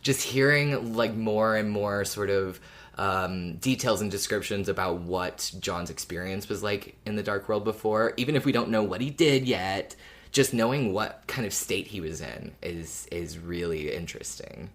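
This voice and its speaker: Co-host, man, deep voice, loose rambling fan chat with frequent laughs. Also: moderate pace, a bit bright. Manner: thoughtful, rambling with many "like"s, laughs often. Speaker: podcast co-host. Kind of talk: casual fan podcast chat.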